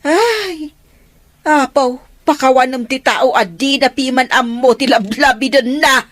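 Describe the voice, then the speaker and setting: A scolding mother in a radio drama, chiding in a slightly high, dry voice. Soft music plays behind her. She sounds sharp and disapproving. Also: measured pace, dry recording.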